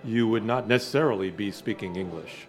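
American guy cohosting a chatty interview podcast, kinda halting, repeats himself. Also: fairly low pitch. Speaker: podcast co-host. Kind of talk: conversational interview. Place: neighborhood bar.

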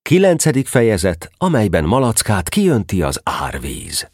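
A man's voice: fairly low pitch, wildly swooping pitch, darkish tone, husky. A man narrating a scripted children's story expressively, as the narrator of an audio drama.

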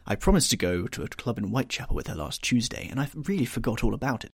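A man voicing a charming, petulant young gentleman who speaks eagerly. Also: medium pitch, very animated, fast talker, husky, dry recording, clean studio sound. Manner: eager, pleading.

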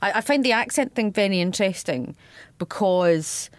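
A woman's voice: mid-range voice, expressive, moderate pace, dry recording. Scottish woman hosting a podcast. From Scotland. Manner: dry, self-mocking humour. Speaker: podcast host. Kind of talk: casual interview chat.

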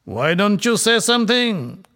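A man's voice: high-pitched, big pitch swings, unhurried, pushed and bright, voice pushed up, exaggerated voice. A man doing a gloating, mocking prince. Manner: gloating, taunting with laughter.

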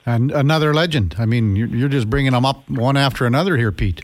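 A man's voice: medium pitch, quick pace, no room sound. A young man hosting a radio interview, asking questions eagerly with lots of fillers. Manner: enthusiastic, many fillers and restarts. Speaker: radio show host.

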